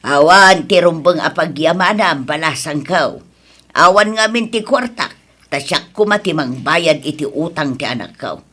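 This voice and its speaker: A woman's voice: low voice, expressive, normal speed, a bit bright. Stern Ilocano mother acted by a woman. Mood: apologetic, supportive. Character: stern, long-suffering middle-aged mother, fair-minded. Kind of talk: Ilocano comedy radio drama acting.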